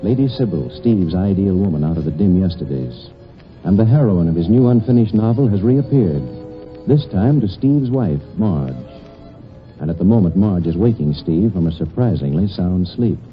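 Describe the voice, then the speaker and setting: A man announcing a radio drama in scripted 1940s style, formal and measured with a dramatic touch. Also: sits low, lively intonation. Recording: dull 1940s radio broadcast, music behind.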